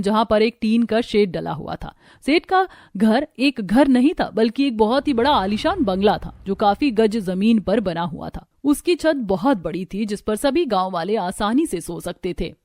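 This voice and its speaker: A woman's voice: fairly high pitch, lively intonation, normal speed, hardly any pauses, a little mellow, faint music behind. A woman narrator telling a scripted cartoon story.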